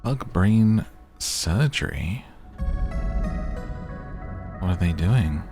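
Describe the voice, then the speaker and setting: Very deep, creaky man chatting softly. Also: lively intonation, music in the background. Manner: soothing, unhurried chat. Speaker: gaming streamer. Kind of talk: relaxing gameplay commentary, gaming ASMR.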